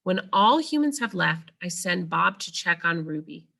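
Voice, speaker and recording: Creaky, low-voiced woman reading aloud. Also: very animated, moderate pace, sharp tone. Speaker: read-aloud reader. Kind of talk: reading a children's novel aloud.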